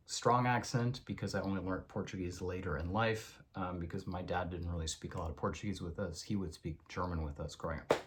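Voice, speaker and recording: A man's voice: on the low side, a bit bright. A man born in the United States and raised in Europe, chatting enthusiastically through a bookshelf tour at home, with lots of fillers. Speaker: video creator giving a bookshelf tour. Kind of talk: casual spoken vlog.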